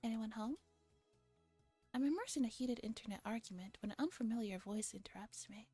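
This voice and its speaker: A woman's voice: fairly high pitch, lively intonation, fairly quick, dark tone, breathy edge, soft background music. A woman streamer with a playful, casually profane manner, reading a visual novel aloud and chatting casually.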